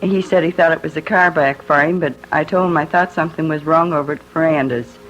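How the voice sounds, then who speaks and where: Very low-voiced American woman, muffled old recording. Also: fairly even intonation, fairly quick. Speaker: eyewitness. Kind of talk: recited witness statement. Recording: muffled old radio recording, slight noise.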